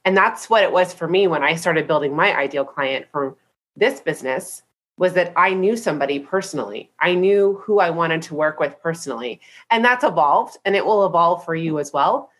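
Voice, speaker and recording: A woman's voice: fairly low pitch, moderate pace, a bit bright. A woman business coach teaching on a training call, congested from a head cold, upbeat and chatty, often checking in with "right?".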